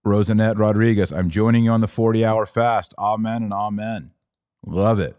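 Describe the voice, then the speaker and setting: A man's voice: lowish voice, fairly even intonation, normal speed, a little mellow, smooth, no echo, studio quality. A man hosting a live religious commentary podcast, answering comments in an exhortative, emphatic way and repeating key phrases.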